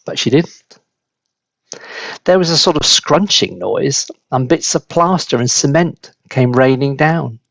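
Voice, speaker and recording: A man reading a children's story aloud as a dramatic, deliberate, expressive narrator. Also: mid-range voice, vocal fry, no echo, lo-fi sound. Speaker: storybook reader.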